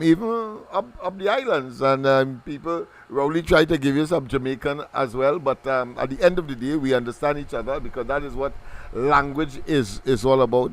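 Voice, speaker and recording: A man hosting a political talk radio show, speaking in formal phrasing broken by many ums and restarts. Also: mid-range voice. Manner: halting, full of ums and restarts, formal wording.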